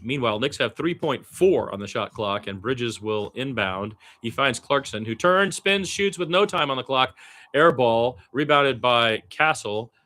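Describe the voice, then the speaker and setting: A man doing basketball radio play-by-play, candid and colloquial, with casual partisan asides and an excitable streak on big plays. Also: mid-range voice, unhurried. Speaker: play-by-play commentator.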